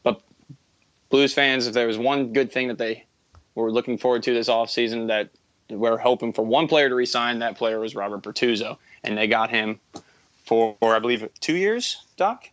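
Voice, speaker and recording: A man's voice: mid-range voice, moderate pace, fairly bright tone, no room sound, highs cut off. A man in his early twenties co-hosting a casual sports podcast, talking in a rambling, self-correcting, enthusiastic way. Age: early 20s. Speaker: podcast co-host.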